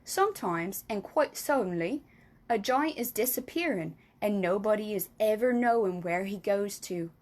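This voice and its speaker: A woman voicing a kindly, very old male giant in a storybook reading, booming and drawn out, mangling his words and grammar. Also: animated, normal speed, close to an everyday voice. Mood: emphatic.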